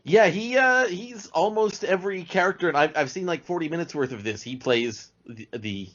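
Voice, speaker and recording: A man's voice: on the high side, lively intonation, moderate pace, fairly bright tone. Man podcast guest, dull lo-fi sound. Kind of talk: casual, knowledgeable fan chat. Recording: dull lo-fi voice recording.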